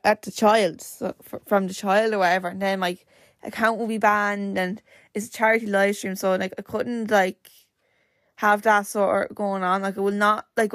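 Chatty young woman, slightly croaky. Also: mid-range voice, no echo, clean studio sound. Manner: chatty and rambling, full of "like" fillers. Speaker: podcast host. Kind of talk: casual solo podcast chat.

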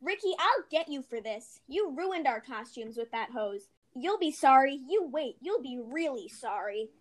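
High voice, swooping intonation, woman acting as dramatic young schoolgirl, spirited, amateur audio play. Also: very animated, normal speed. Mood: outraged. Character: Molly, indignant wartime American schoolgirl, vengeful, dramatic. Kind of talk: amateur reader's theater podcast.

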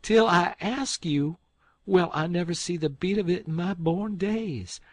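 A man voicing a fussy, quick-tempered elderly farm wife from the South, shrill and scolding. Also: animated, darkish tone, breathy edge, no room sound. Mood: indignant.

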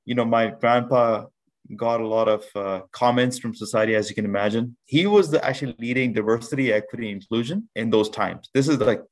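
A man from Pakistan in his thirties, a podcast guest telling his life story in a measured way with many restarts. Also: medium pitch, low-quality recording. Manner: measured, with frequent restarts and fillers. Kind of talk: reflective storytelling interview.

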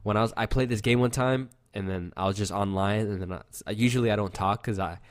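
A young man with a fairly low, youthful-sounding voice, chatting loosely and swearing as he rambles through stories. The sound is dry and close, with no room around him. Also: fast talker, dry recording. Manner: rambling, loose storytelling with lots of fillers and swearing. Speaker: podcast co-host. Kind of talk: casual chat.

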